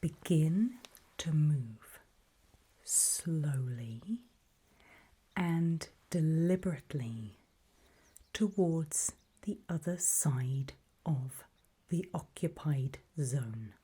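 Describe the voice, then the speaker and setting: A woman narrating an ASMR roleplay in a breathy whisper, guiding the listener in hushed, deliberate short phrases with pauses between them. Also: low voice, lively intonation.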